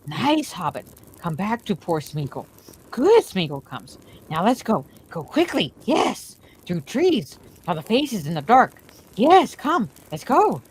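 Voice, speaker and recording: A woman voicing a wretched, sly male creature who hisses and whispers to himself in slow, wheedling words. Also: mid-range voice, very animated, husky, full character voice. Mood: eager. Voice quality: hissing, whispery.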